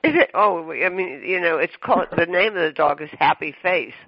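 A man hosts a radio interview in a somewhat high, gravelly voice. He stammers and restarts his questions and laughs often. The sound is dry and muffled. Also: normal speed, no echo. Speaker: radio show host.

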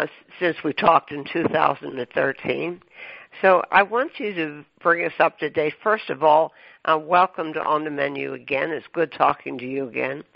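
A man hosting a radio show, asking halting, stammering questions and laughing often. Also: on the high side, creaky, dry recording. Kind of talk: radio interview. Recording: dry, dull lo-fi radio sound.

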